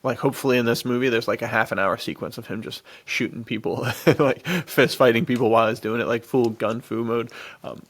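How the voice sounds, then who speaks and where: A man's voice: medium pitch, steady tone, fairly quick. A man co-hosting a podcast in casual, enthusiastic chat, gushing in slang and often laughing. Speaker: podcast co-host. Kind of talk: casual enthusiastic chat about action films.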